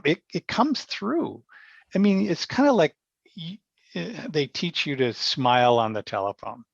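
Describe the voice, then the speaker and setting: A man talking casually as an interview guest, explaining through anecdotes, often with halting starts. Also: medium pitch, creaky, husky, no echo, lo-fi sound. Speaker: podcast guest, stage actor and director.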